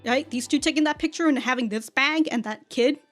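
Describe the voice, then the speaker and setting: A woman hosting a casual anime reaction and review, speaking in an animated, exclamatory way and often chuckling as she talks. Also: high voice, fairly quick, faint music behind. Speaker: reaction video host.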